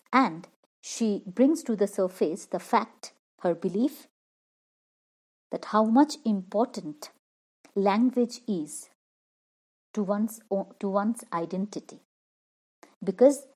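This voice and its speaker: A woman's voice: mid-range voice, a little mellow. A woman explaining a poem line by line as a literature teacher, repeating and rephrasing each point.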